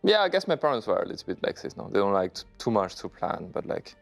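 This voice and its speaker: A man in his thirties from China, answering interview questions in halting, relaxed English with many fillers. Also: lowish voice, gravelly, faint music behind. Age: 30s. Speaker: poker player guest.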